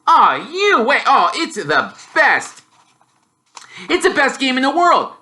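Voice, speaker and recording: A man voicing an excitable, blunt young wizard boy who blurts loudly and is easily made gloomy. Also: very high-pitched, takes it slowly, pitched above the performer's own voice, exaggerated voice. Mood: amazed, excited. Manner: loud, blurting, animated.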